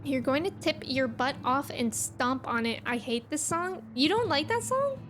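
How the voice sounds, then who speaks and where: High-voiced woman streamer chatting casually. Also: lively intonation, normal speed, fairly bright tone. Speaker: game streamer. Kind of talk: casual livestream chat and reactions. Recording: music behind the voice. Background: game music.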